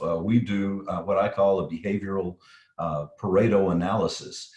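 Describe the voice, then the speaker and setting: Deep-voiced man with a faint Texan twang. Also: a little mellow. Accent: Texan, slight. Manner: practiced broadcast-style delivery; thoughtful, with frequent fillers and restarts. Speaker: guest safety consultant. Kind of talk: interview answers. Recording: echoey room.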